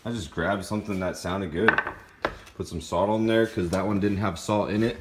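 A man in his thirties with a fairly low voice talks casually into his phone at home. He explains things step by step in an informal, plain-spoken way, as a fitness vlogger would in his own kitchen. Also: steady tone, moderate pace, noisy background. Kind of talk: casual how-to talk to camera. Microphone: phone at arm's length.

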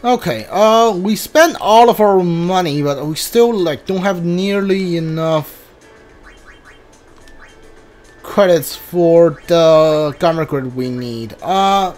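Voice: medium pitch, a little mellow, soft background music. A video game player giving casual, hesitant commentary on a playthrough, muttering and thinking aloud. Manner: hesitant, halting, muttering to self.

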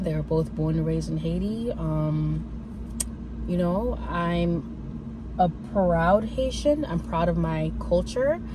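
A woman in her twenties talking casually to camera from a parked car, reflective and full of fillers and restarts. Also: on the low side. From United States. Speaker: vlogger. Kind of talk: casual personal talk to camera. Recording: car interior with clear road noise.

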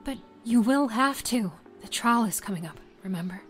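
A woman playing an earnest, careful friend who gently persuades and pleads in an audio drama. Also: on the high side, animated, moderate pace, soft background music, lightly acted. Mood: pleading.

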